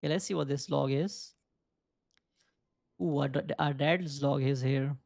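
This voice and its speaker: A man's voice: mid-range voice, steady tone, unhurried, vocal fry, darkish tone, dry recording, studio quality. A young man casually commenting on a video game, thinking aloud and rambling with lots of filler words. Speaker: gaming video creator. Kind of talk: casual let's play commentary.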